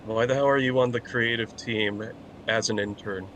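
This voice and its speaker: Medium pitched guy cutting in bluntly, casual chat, bit of background noise. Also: steady tone, normal speed, a bit bright, poor audio.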